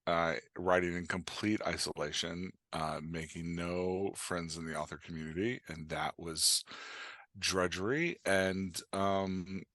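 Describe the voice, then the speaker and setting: A man talking casually as an interview guest, haltingly, in short phrases as he thinks aloud. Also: low voice, pushed and bright, no room sound, studio quality. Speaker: podcast guest, author and writing coach.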